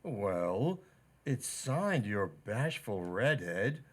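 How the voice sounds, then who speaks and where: Slow-talking, amorous butcher, radio comedy played by a man. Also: fairly low pitch, sing-song, full character voice. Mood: flirtatious, smitten. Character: amorous, cheesy butcher wooing a married woman. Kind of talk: old-time radio sitcom acting in community theater.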